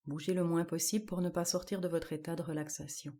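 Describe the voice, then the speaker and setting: A woman guiding a yoga nidra relaxation, giving calm, measured instructions in short, spaced-out phrases. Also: fairly low pitch. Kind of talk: guided relaxation meditation.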